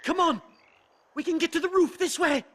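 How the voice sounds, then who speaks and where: A frantic, exasperated westerner in a video game shootout. He yells urgently in a very high-pitched voice over clear gunfire noise. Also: moderate pace. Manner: urgent yelling. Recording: loud gunfire noise behind.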